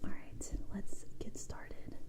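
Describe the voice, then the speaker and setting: A woman whispering breathily in a gentle, reassuring way, doing an ASMR medical roleplay as an audiologist. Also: takes it slowly. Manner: gentle, reassuring, soft-spoken.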